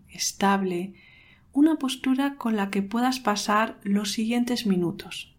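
A woman leading a guided meditation in a calm, unhurried, soothing manner with pauses. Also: medium pitch. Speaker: meditation guide, podcast host.

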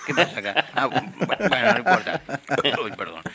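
Comic radio-play judge , male actor: bumbling, tipsy, chummy, very animated delivery. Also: mid-range voice, moderate pace, gravelly, a crowd in the background. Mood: tipsy, rambling. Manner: rambling, blustering, easily distracted. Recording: amateur radio play with people chattering over it.

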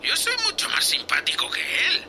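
A man's voice: very high-pitched, wildly swooping pitch, normal speed, gravelly, pushed and bright, slight background noise, heavily acted. A man playing a tipsy, cheeky young spaceship co-pilot in an audio drama, giggly and rambling. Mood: drunken grievance. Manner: slurred, giggly, rambling drunken talk. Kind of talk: science fiction audio drama acting.

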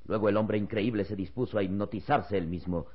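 A man's voice: on the low side, steady tone, a little fast. Dramatic male radio serial narrator, dull recording. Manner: grave, emphatic.

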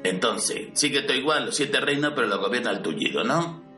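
A man's voice: mid-range voice, expressive, fairly quick, pushed and bright. A man with a Spain Spanish accent playing a boastful, irritable, foul-mouthed fallen conqueror in an amateur parody audio drama, blunt and demanding. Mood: scheming, scornful. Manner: blunt, demanding, incredulous, swaggering. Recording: roomy, distant mic, music underneath.

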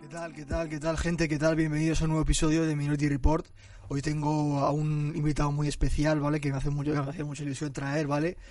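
A man's voice: on the high side, completely flat delivery, fast, a little mellow, faint music behind. A man with an accent from Spain hosting a casual interview, informal and slangy, often tacking on tag questions. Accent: Spanish from Spain. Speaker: podcast host.

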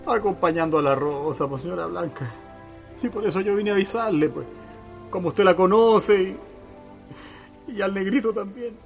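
A serene, grieving Chilean country man in a radio play. His voice sits high, and he speaks in a rural Chilean way, deferential and resigned, with some music behind him. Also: moderate pace, creaky, long pauses. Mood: subdued sorrow. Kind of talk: radio drama acting.

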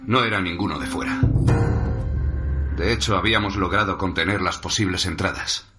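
A man's voice: low-pitched, normal speed, loud music behind the voice, natural voice. An actor playing a weary, blunt male survivor giving testimony in a radio drama.

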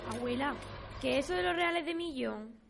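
A woman's voice: sits high, expressive, brisk, vocal fry, background noise. A female performer playing a curious, sceptical young grandchild in a radio play, with an inquisitive, cheeky manner.

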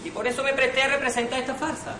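A man's voice: very high-pitched, flat delivery, very fast, sharp tone. A man as hapless, bumbling servant, echoey sound. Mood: bewildered. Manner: hesitant, bumbling. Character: hapless, chatty servant Román posing as gentleman. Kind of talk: amateur radio farce acting. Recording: echoey, noisy amateur recording.

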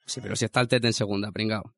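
Fast-talking Canarian man, casual radio host. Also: fairly low pitch, flat delivery, a bit bright, no room sound. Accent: Canarian Spanish. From Canary Islands, Spain. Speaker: music segment host. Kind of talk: casual chat.

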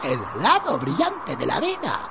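Slow, creaky man with wildly swinging pitch. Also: huge pitch swings. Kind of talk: theatrical spoken rendering of comic song lyrics. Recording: very noisy, loud ambience.

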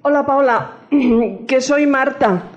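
A woman's voice: high-pitched, slow pace, muffled recording. A woman with a Spain Spanish accent playing a hesitant caller in an amateur radio drama, conversational and tentative. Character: hesitant woman calling about her affairs.

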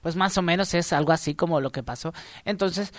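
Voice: mid-range voice, a little fast, dry recording, clean studio sound. A radio co-host in casual comic chat, playful and mock-dramatic while explaining things.

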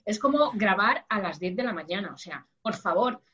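A woman from Madrid chats on a podcast in an outspoken, teasing manner. Her voice is of average pitch, and the sound is muffled and dull. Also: expressive, moderate pace, sharp tone. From Madrid, Spain. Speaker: podcast co-host. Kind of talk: casual group chat.

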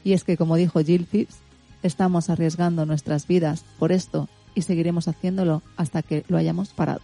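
Mellow, lowish-voiced Spanish woman host. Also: fairly even intonation, brisk, gravelly, soft background music. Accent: Spain. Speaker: radio show host. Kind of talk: children's radio show presenting.

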